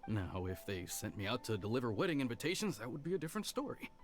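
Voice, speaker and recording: A man's voice: mid-range voice, sing-song, fast, lightly acted. An actor playing an earnest, restrained young male retainer with a formal manner in an anime video game. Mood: forced cheer. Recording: music behind the voice.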